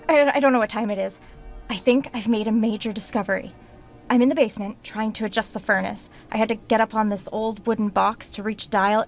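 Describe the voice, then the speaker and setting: A woman's voice: on the high side, natural voice. An actress in an audio drama playing a stubborn, defensive woman novelist around thirty, with a clipped manner. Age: young adult.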